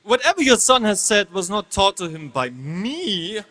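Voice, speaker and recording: A man plays a nervous teacher at a royal court in a staged drama. He pleads deferentially in a very high, sharp, lively voice that echoes through a big hall. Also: normal speed, full character voice. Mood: frightened pleading. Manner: stammering, deferential, theatrical. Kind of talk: dramatic scripture reading on stage. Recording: echoey hall with long reverb.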